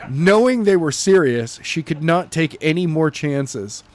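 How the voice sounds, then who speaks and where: A man narrating a novel chapter in an animated amateur audiobook style, stumbling and correcting himself as he reads. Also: fairly high pitch. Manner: halting, stumbling read with self-corrections.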